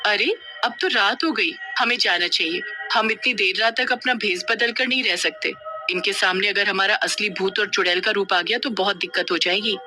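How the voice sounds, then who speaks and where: A performer voicing a kindly but scheming female witch in an animated, conspiratorial storybook manner. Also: mid-range voice, moderate pace, soft background music. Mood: earnest.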